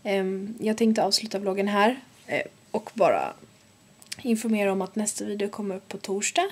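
A woman's voice: mid-range voice, flat delivery, breathy edge. A young woman vlogging casually at home, chatting upbeat and straight to her viewers into a camera held at arm's length. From Sweden. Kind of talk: casual vlog talk. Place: bedroom at home, indoors. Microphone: handheld camera at arm's length.

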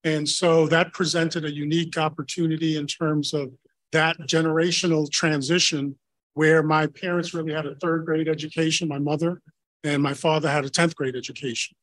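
Man in his sixties, Spanish first language, no accent, relaxed storytelling, slightly high pitch. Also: normal speed, dry recording, rough recording. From Honduras. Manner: conversational, storytelling. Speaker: panelist. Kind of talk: personal account on a panel.

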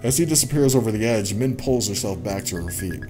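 A man's voice: on the low side, expressive, a little fast, darkish tone. A man in his thirties doing let's play commentary, reading game text aloud and chattily reacting as he goes. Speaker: gaming let's play host. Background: game music.

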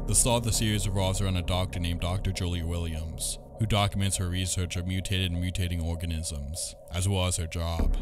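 A man reading a scripted video essay as its narrator. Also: low voice. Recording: loud music under the voice.

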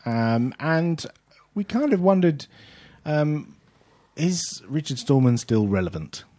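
A man's voice: a little high, takes it slowly. A man hosting a podcast, chatting casually and rambling with many fillers. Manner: relaxed, rambling, hesitant with fillers. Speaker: podcast host.

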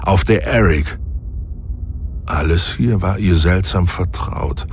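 Deep, slow male narrator. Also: slight background noise. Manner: calm, measured storytelling. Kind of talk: scripted narration.

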